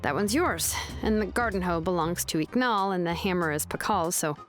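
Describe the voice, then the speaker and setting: A woman's voice: fairly low pitch, moderate pace, lightly acted. An actress playing a laid-back, practical young female blacksmith in a video game, easygoing and conversational. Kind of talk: English video game voice acting. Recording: clear background music.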